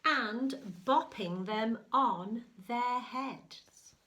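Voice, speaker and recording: A woman reading a picture book aloud to young children, mixing expressive sing-song lines with hushed chatty asides. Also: a little high, very slow. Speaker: infant school story reader.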